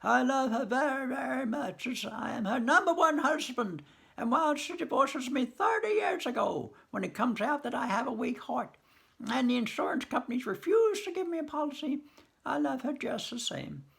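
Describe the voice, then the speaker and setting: A man's voice: sing-song, normal speed, creaky, fairly bright tone, heavily acted. A man doing a quavering, grieving old farmer. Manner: high quavering wail. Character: grieving old male farmer, bewildered and mournful.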